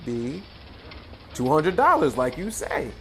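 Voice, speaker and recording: A man's voice: on the high side, huge pitch swings, takes it slowly, a bit bright, a little hiss or hum, exaggerated voice. A man playing a folksy, laconic older ticket agent in a radio play. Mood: matter-of-fact.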